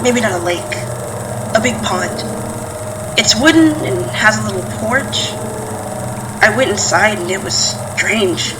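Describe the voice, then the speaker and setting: A woman's voice: mid-range voice, animated, a little slow, pauses often, natural voice. A woman playing a guarded, impatient daughter and sister in a naturalistic audio drama, with terse, prodding, conversational delivery. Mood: uneasy. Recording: distant from mic, clear background noise.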